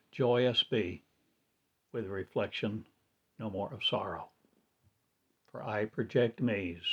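A man with a lowish voice intones a grandiose, repetitive monologue in a trance-like chant. He speaks very slowly, drawing out each phrase. The recording sounds dull and lo-fi. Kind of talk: slow incantatory spoken-word monologue.

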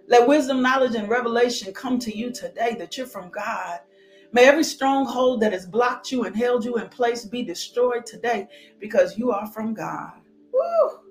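A woman preacher delivering a fervent sermon, repeating declarations, breaking into high whoops and dropping to hushed prayer. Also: poor audio. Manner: exclamatory, with sudden high whoops. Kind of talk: fervent sermon with altar call and blessing.

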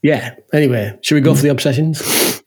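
A man's voice: mid-range voice, fairly quick. A man co-hosting a maker podcast in casual chat, laughing often and tagging his remarks with "huh?". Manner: chatty, laughs often, tags lines with "huh?". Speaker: podcast co-host.

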